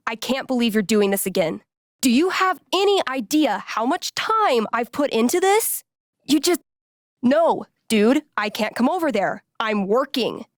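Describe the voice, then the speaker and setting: A woman's voice: fairly high pitch, animated, unhurried, no echo, studio quality. An actress playing a laid-back, geeky young American woman in a video game, speaking in a casual, wry, playful way. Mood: exasperated. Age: young adult. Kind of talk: naturalistic video game character dialogue.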